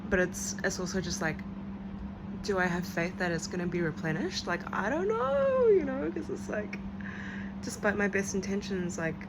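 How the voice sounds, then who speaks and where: A woman's voice: medium pitch, big pitch swings, normal speed, heavy background noise. A young woman from New Zealand with a New Zealand accent, vlogging late at night from bed in a rambling, confessional way full of "like".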